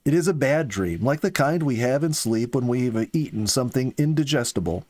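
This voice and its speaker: A man reading the part of an earnest, philosophical young soldier in an audiobook, with slow, deliberate phrasing. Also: medium pitch, lively intonation, a little mellow, pitched above the performer's own voice, close to an everyday voice. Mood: solemn, resolute. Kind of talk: audiobook character dialogue.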